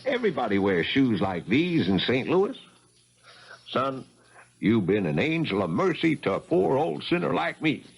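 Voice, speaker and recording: Husky, jittery thief on the run. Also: male voice, mid-range voice, normal speed, full character voice. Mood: folksy, grateful. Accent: American. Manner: folksy rural American speech, excitable. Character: jittery male thief on the run, folksy rogue. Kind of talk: 1970s radio drama acting. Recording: muffled vintage radio broadcast.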